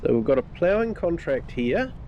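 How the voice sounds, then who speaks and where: A man with a New Zealand accent chatting through a game live stream in a relaxed, rambling way with lots of fillers, his nose a bit stuffed up. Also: on the high side, moderate pace, lo-fi sound, a little hiss or hum. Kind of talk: casual live-stream commentary.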